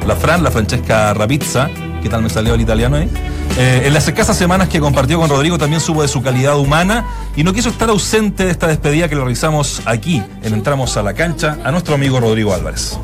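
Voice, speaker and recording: A man's voice: a little high, quick pace. A man hosting a radio sports show, introducing a farewell tribute in a playful, joking way. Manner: playful, jokey, with asides to the panel. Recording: music playing behind.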